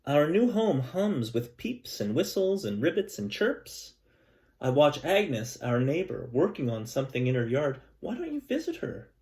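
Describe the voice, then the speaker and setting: A man teaching a class, chatting gently and reading a picture book aloud to children. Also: fairly high pitch, big pitch swings, unhurried. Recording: echoey room, mic at a distance.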